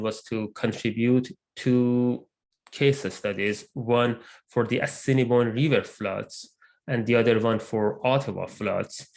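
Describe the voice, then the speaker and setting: A man who is not a native English speaker, presenting his research group's work in an online meeting, measured and with frequent fillers. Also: medium pitch. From immigrant to Canada. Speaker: research lab lead presenting. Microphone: computer mic on video call.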